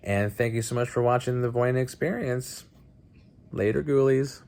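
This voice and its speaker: A man hosting a casual movie review vlog, speaking bluntly in a halting, conversational way. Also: mid-range voice, noisy background. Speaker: host of a movie night video channel.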